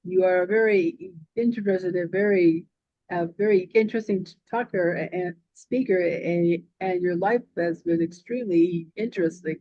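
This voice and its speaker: A woman making a halting, hesitant comment on a video call. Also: lowish voice, no room sound. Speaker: audience member. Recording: dull, dry audio.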